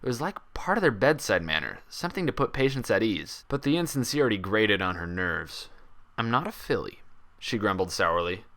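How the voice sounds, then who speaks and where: Male narrator for dramatic fiction, lively intonation, bright forceful tone. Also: lowish voice, brisk, few pauses. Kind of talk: dramatic scripted story narration.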